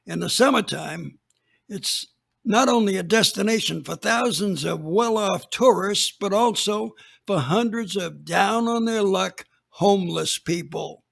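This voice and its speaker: A rambling 85-year-old police chief from a radio drama. His voice is high and rough with fry, and he drawls through his story at an unhurried pace, repeating words for emphasis. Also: a bit bright, full character voice. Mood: reminiscing.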